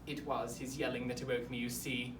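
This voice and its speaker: A woman's voice: a bass voice, little pitch movement, fairly quick, voice pushed down. Woman playing a pompous, fussy headmaster, roomy sound. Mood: pompous complaint. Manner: pompous, fussy, rambling formal politeness. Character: pompous, awkward headmaster of a boys' boarding school. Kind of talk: comic monologue in a speech competition performance. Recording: roomy, distant mic, clear background noise.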